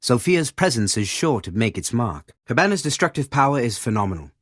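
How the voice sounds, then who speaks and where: A man playing a measured, articulate special-operations director in a video game, giving formal analytical assessments of operatives. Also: mid-range voice, very animated, dry recording, studio quality. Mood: composed, approving. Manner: formal, erudite, measured delivery. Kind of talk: scripted video game voice acting, analytical briefing.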